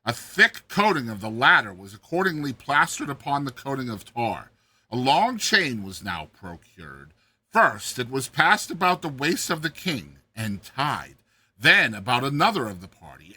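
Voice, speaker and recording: A man narrating a classic short story in a dramatized audiobook style, with deliberate, theatrical phrasing. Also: on the high side, bright, forceful tone, breathy edge.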